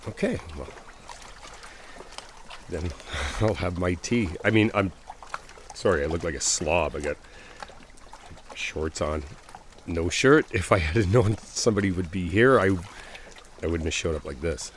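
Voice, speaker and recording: A man's voice: low voice, animated, a little slow, long pauses. A man playing a friendly, bashful stranger in a boyfriend roleplay audio, talking casually and a little hesitantly. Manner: hesitant, apologetic, chuckling, conversational. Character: friendly, bashful male stranger at a lakeside trailer park. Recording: outdoor water ambience noise.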